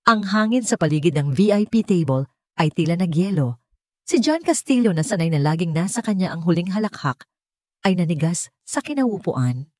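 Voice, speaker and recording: A Filipino woman narrates a scripted drama in a fairly low voice. The sound is dry, with little room around it. She reads as an audiobook storyteller with a Filipino accent. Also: big pitch swings, moderate pace, darkish tone, dry recording.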